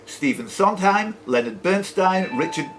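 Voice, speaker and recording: A man's voice: high voice, animated, unhurried. A man hosting a live quiz, reading out questions and throwing in jokey, chatty asides. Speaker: quiz host and question reader. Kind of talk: live pub-style quiz reading with off-the-cuff banter. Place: sports and social club room. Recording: noisy live room recording.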